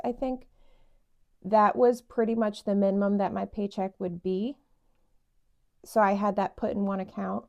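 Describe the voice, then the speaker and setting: Raspy-voiced woman, just woke up. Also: mid-range voice, a little mellow. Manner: chatty, rambling with fillers and laughs. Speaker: budgeting vlogger. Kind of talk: casual chat to camera.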